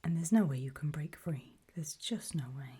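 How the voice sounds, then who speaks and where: A woman playing a calm, faintly sinister guide in a creepy ASMR roleplay, whispering slowly and deliberately with a breathy voice. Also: low voice, exaggerated voice. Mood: calm, ominous warning. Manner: slow, deliberate, coolly instructive, softly ominous. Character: calm, faintly sinister female guide briefing people on alien dangers.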